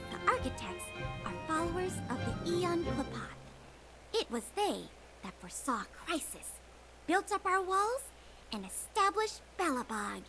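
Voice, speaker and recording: A woman voicing a brisk, strict young officer in a video game, explaining things like a tour guide. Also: extremely high pitch, rough recording. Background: game music.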